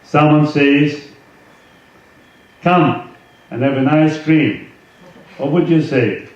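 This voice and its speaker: A man speaking as a swami, giving an informal spiritual talk and reading aloud from a book, with halting conversational asides. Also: on the high side, darkish tone. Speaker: swami, yoga teacher. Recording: roomy echo, distant mic, dull sound, faint noise.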